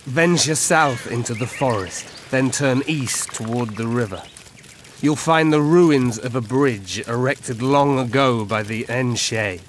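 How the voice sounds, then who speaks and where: A man's voice: mid-range voice, close to an everyday voice. Man playing solemn, world-weary old elf. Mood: grave, measured. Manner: measured, solemn, formal. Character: old male elf forest scout, world-weary and grave. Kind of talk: video game dialogue acting. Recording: clear ambient noise behind.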